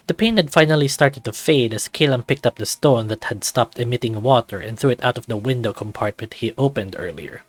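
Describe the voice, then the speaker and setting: A man's voice: mid-range voice, a little fast, few pauses, dry recording. A man reading scripted story narration for a fantasy visual novel. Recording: background music under the voice.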